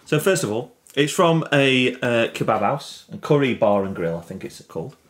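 A young man with a Northern English accent hosts a casual chat podcast. He talks in a loose, jokey way, stumbling over and repeating his words. His voice sits at an ordinary middle pitch. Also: sing-song, normal speed. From England. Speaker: podcast co-host.

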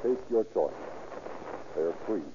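A man's voice: on the low side, animated, slow pace. A man with an American accent narrating and announcing for a 1930s radio program in a formal, declamatory manner. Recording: muffled, noisy old radio recording.

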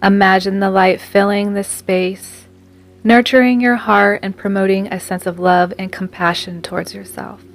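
A woman guiding a scripted meditation in a soothing, measured manner with long pauses. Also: mid-range voice, fairly even intonation. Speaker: meditation guide and podcast host. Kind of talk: guided meditation, scripted narration. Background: music.

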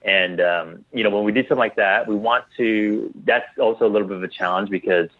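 Male podcast guest, lowish voice, casual and halting, restarts often, heard through a narrow phone-like connection. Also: no room sound. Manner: halting, tentative, trails off and restarts. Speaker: interview guest, clothing designer. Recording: tinny phone-like line.

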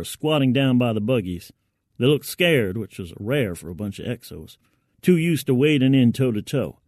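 A man's voice: medium pitch, darkish tone, no echo, studio quality. A man narrating first-person fiction dramatically, in a gritty, colloquial storytelling manner.

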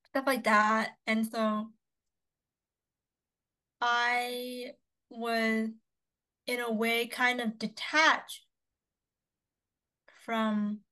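Chatty young woman, higher voice. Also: level tone, drawn-out words, long pauses, sharp tone, rough recording. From Canada. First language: Cantonese. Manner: chatty, upbeat, lots of fillers. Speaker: podcast co-host. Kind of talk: casual chat.

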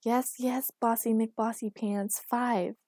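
A woman's voice: mid-range voice, a little fast, a little mellow, no room sound, clean studio sound, close to an everyday voice. A woman voicing an irritable, sleepy, stubborn young woman with a whiny, grumbling manner in an audiobook. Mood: sleepy, sulky. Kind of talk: acted audiobook dialogue.

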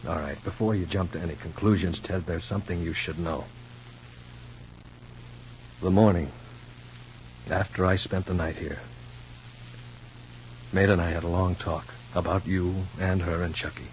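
An actor playing an earnest, concerned confidant in an old radio soap opera, with a halting, emphatic delivery. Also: male voice, deep voice, steady tone, natural voice. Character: earnest, concerned male confidant pleading for understanding. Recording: muffled old radio recording, noisy hum.

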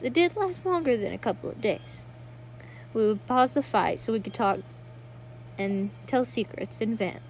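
A woman's voice: a little high, a little hiss or hum, low-quality recording. A woman playing an earnest, pleading young sister in a dramatic monologue reading.

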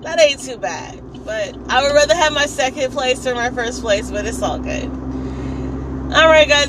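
A woman with a hoarse, raspy voice, chatting casually as she drives to work and often laughing and clearing her throat. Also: on the high side, noisy background. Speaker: vlogger. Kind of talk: casual chat while driving. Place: in a car on a commute.

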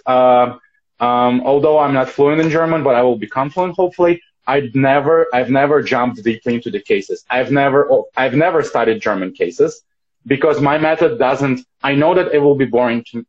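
A man's voice: medium pitch, moderate pace. A man whose first language is Russian, answering interview questions in English, fluent and thoughtful with a few restarts. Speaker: guest. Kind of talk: casual interview. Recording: dull lo-fi sound.

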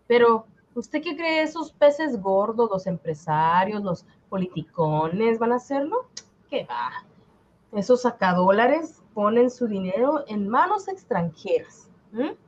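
Woman playing a high, swooping sardonic commoner. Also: muffled recording, exaggerated voice. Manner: wry, colloquial. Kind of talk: amateur radio drama reading.

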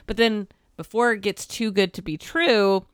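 Woman podcast co-host, casual and animated, nasal and fraying voice, dry close mic. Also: mid-range voice, moderate pace, clean tone, no room sound. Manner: animated, swears, acts out quoted lines. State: losing her voice after a cold, stuffy nose. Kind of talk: casual chat recapping a film.

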